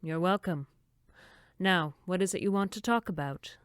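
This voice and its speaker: A woman acts as a fussy female camp director in a horror audio drama. Her voice is low-set and very clean, recorded dry and close, and she speaks in prim, formal phrases that brush concerns aside. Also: moderate pace, no room sound, close to an everyday voice. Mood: chirpy, hospitable.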